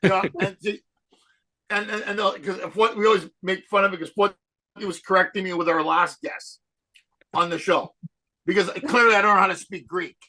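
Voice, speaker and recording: High, bright, husky-voiced male host. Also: steady tone, normal speed, rough recording. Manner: playful, jokey, interrupts with questions. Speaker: podcast co-host. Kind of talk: casual interview chat.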